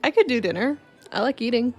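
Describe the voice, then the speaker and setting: Woman playing a creaky-voiced friendly adventurer. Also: on the high side, animated, fast, darkish tone, soft background music. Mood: friendly, insistent. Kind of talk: fantasy radio drama acting.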